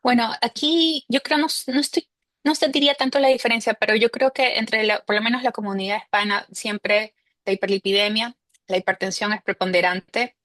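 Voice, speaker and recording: A woman from Ecuador with an Ecuadorian Spanish accent, a cardiologist answering interview questions in a measured way, often saying "este" and slipping in English medical terms. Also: on the high side, steady tone, fairly bright tone, no room sound. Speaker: cardiologist guest.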